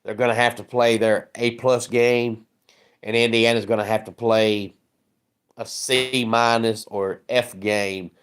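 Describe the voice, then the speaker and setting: A man hosting a casual sports livestream, hoarse and scratchy from a head cold, chatting and greeting viewers by name. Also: fairly low pitch, level tone, a little slow. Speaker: sports channel host.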